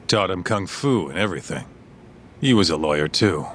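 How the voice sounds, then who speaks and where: A man's voice: sits low, expressive, unhurried, fairly bright tone, slight background noise. An actor playing a streetwise, loyal tough guy in a video game, frank, somber and plain-spoken. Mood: somber, reflective. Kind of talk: dramatic game voice acting, storytelling.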